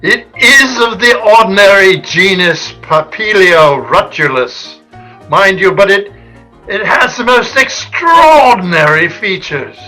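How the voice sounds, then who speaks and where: An actor playing a pompous, fussy scientist with a pedantic, condescending manner in an old-time radio comedy. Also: male voice, high-pitched, animated, takes it slowly, sharp tone, soft background music, heavily acted, poor audio. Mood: pedantic, marveling. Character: pompous, genteel male scientist, fussy caterpillar expert.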